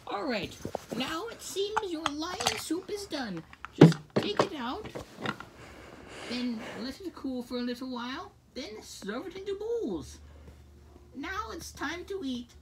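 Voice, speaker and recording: A high-voiced performer voicing an elderly, muddled grandma teddy bear who gives halting cooking instructions in an amateur puppet skit. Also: very animated, exaggerated voice. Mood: pleased. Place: small bedroom, indoors. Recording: noisy home recording with clicks.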